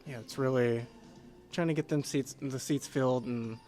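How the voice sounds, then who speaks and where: A young man answers interview questions politely, with little rise and fall in his voice. He pauses often and fills the gaps with ums, and faint music plays in the background. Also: medium pitch, fairly even intonation. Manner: polite and soft-spoken, says yes sir, many fillers. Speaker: guest, group sales consultant. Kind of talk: casual interview.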